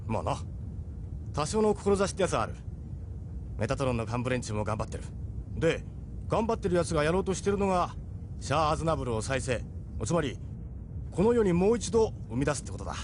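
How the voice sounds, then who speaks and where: A man's voice: on the high side, big pitch swings, brisk, long pauses, noisy background. A man voicing a seasoned, middle-aged ship captain in an audio drama, persuasive, frank and worldly. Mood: wry, explanatory. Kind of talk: Japanese audio drama acting.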